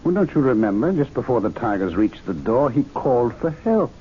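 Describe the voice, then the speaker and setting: An actor playing a composed, wry gentlemen's club member in an old-time radio drama, with a formal and grave manner. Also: male voice, medium pitch, sing-song, fairly quick, lightly acted. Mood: solemn, knowing. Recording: dull lo-fi radio recording with hiss.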